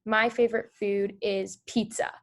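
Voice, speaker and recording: A woman's voice: mid-range voice, flat delivery, measured pace. Woman reading a picture book aloud to kids. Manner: gentle, encouraging, with small restarts. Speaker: read-aloud host. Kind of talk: reading a picture book to children over video call. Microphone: video call.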